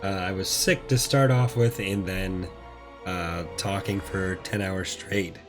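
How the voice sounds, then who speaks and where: A man giving casual, rambling, low-key gameplay commentary, his voice still recovering after being mostly lost. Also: steady tone, slow, over music. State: recovering from voice loss. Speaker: host of a gaming playthrough series.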